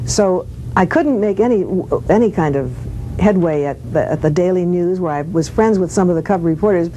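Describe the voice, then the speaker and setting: An older woman, a former radio actress, reminiscing casually in an interview and telling anecdotes in an animated way. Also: moderate pace. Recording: noisy with low rumble.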